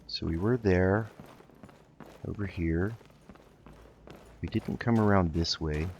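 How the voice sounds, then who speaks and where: A man doing casual game commentary, thinking aloud in an unhurried, musing way as he roleplays. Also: sits low, a little mellow, lo-fi sound, slight background noise. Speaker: gaming host.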